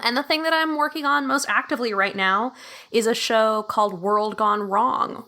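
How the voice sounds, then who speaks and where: A woman's voice: mid-range voice, sharp tone. A woman talking casually and enthusiastically as a guest in a podcast interview, in an informal way. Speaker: podcast guest, audio fiction producer.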